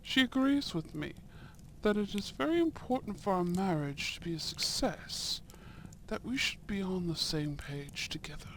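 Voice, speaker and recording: A man playing a young, courteous and shrewd Edwardian viscount in a romantic audio roleplay, with formal, deliberate phrasing and soft murmurs. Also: sits high, animated, slight background noise, rough recording. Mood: measured, amused.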